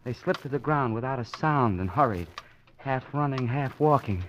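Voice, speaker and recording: An actor playing a nervous, frightened, fatalistic city employee in an old-time radio crime drama, agitated and halting. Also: male voice, medium pitch, lively intonation, lo-fi sound, close to an everyday voice. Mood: terrified. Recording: noisy vintage radio recording with clicks.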